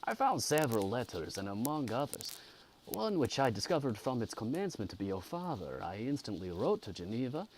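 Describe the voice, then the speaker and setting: A man voicing a courteous, sympathetic French gentleman from a gothic novel, with a put-on French accent and formal phrasing. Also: mid-range voice, very animated, brisk, slight background noise, voice pushed up, close to an everyday voice, low-quality recording. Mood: gentle concern.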